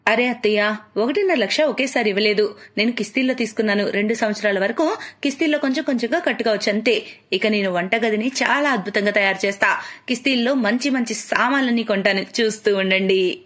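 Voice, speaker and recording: A woman's voice: a little high, fast talker, fairly bright tone. A woman voicing a discontented, status-hungry young wife in a moral story, in a complaining, insistent manner. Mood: proudly excited.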